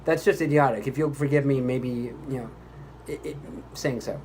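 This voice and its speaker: A man's voice: medium pitch, moderate pace, a little mellow, slight background noise, close to an everyday voice. A man playing a pompous, exasperated commercial voice actor at a recording session, grandiose, indignant and condescending. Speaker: voice-over actor. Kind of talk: re-enacted commercial recording outtake.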